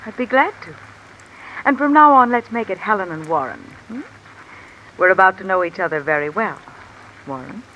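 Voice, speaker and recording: A woman's voice: mid-range voice, moderate pace, long pauses, highs cut off. A woman playing a calculating, discontented wife with an insinuating, controlled manner in 1950s radio mystery style. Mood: knowing, confident. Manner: insinuating, controlled, coolly commanding. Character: cool, calculating, discontented wife blackmailing a man. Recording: old radio recording with steady rain noise. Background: rain falling.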